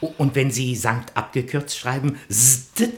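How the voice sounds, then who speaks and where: An actor in a 1960s German radio comedy playing a naive, polite citizen who earnestly defers to officials. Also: male voice, mid-range voice, unhurried, fairly bright tone, close to an everyday voice. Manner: deferential, earnest, eager to agree. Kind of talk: 1960s German comic radio play acting.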